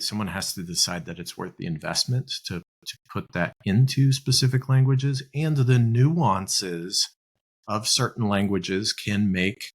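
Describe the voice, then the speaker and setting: A man hosting a podcast interview, talking in a relaxed, musing way and often adding "right?". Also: sits low. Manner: conversational, musing, asks rhetorical "right?". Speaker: podcast host.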